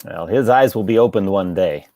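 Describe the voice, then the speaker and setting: A woman's voice: a bass voice, moderate pace, warm tone, dry recording. A woman reading the part of a jealous, lovesick, talkative male barber in a stage comedy table read. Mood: jealous, intense.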